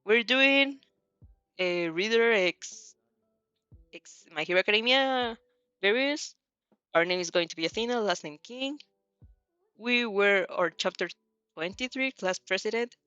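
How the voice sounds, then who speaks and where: A woman's voice: mid-range voice, lively intonation, measured pace, long pauses, bright, forceful tone, clear, resonant tone, no echo, dull audio, soft background music. A woman hosting a casual fanfiction read-aloud, hesitant and giggly, often correcting herself as she goes. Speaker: host of fanfiction reading show.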